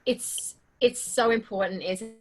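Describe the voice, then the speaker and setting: A woman's voice: a little high, unhurried, poor audio. A woman, a performance coach hosting an interview and telling stories in a conversational way.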